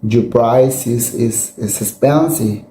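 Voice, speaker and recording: A Mexican man speaking English with a Spanish accent, slow and halting, with many fillers and restarts as he hunts for words. His voice is very dark and mellow. He is recorded in an echoey room with a faint hum behind him. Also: mid-range voice. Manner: reassuring, often adds "believe me" and "yes?". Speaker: immigration video host. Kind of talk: casual advice talk to camera.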